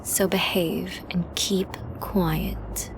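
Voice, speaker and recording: Woman acting a slow-talking, menacing, flirty villainess. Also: lowish voice, level tone, noisy background. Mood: menacing, hushed. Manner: teasing, menacing, slow and often whispering. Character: flirty, dominant female villain taunting a hostage. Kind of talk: ASMR roleplay audio drama.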